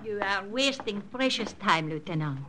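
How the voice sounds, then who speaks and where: A woman's voice: medium pitch, animated, moderate pace, noisy background, lightly acted. An actress doing a coy French woman. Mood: insistent. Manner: flirtatious, teasing, lilting. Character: coy French woman, teasing spy helper. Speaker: radio drama character. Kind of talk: 1940s radio acting.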